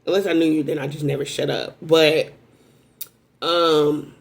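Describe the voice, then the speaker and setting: Rambling animated woman reading tarot. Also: a little slow, gravelly. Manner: rambling, animated, with sudden high exclamations; self-described strong voice. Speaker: tarot reader. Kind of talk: casual spoken tarot reading.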